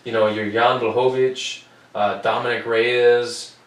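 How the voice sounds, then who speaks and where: A man's voice: medium pitch, level tone, unhurried. Cursing, joking man on a podcast. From United States. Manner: loose, profane, joking. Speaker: podcast co-host. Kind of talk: casual sports podcast chat. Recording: roomy, distant mic with faint noise.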